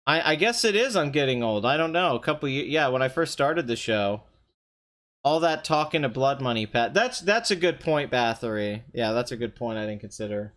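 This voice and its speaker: Young man, hoarse and strained voice, slightly nasal, rambling live stream chatter. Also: expressive, moderate pace, fairly bright tone. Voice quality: somewhat high, nasal voice. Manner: chatty, rambling, often clears throat, reads chat aloud. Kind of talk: casual live stream chat.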